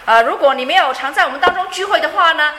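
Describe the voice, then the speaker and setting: A woman's voice: high voice, racing, sharp tone, a little hiss or hum. A woman interpreting a sermon phrase by phrase, fluent but with frequent fillers and light laughs. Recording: echoey room.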